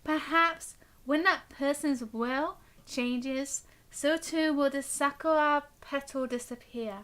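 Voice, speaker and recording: High, bright-voiced woman streaming a game. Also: slow. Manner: chatty, expressive, reactive. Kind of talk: live gameplay commentary, reading game text aloud. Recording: game music behind the voice. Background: game music.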